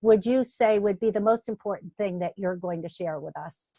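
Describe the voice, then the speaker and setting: A woman's voice: mid-range voice, moderate pace, warm tone, dry recording. A woman interviewing a guest, enthusiastic and affirming, often repeating words as she builds her questions.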